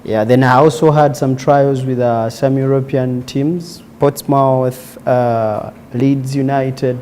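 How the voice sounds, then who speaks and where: A man's voice: medium pitch, little pitch movement, slow, darkish tone, slight background noise. A man in his twenties with a Ugandan accent, telling his personal story conversationally as an interview guest. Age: 20s. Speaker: interview guest, former footballer.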